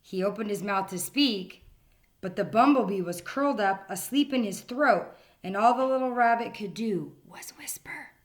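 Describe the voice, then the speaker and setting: A woman reading a children's picture book aloud in a gentle storytelling manner, sometimes dropping to a whisper. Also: mid-range voice, lively intonation, moderate pace. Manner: gentle storytelling, drops to whispers for the quiet parts.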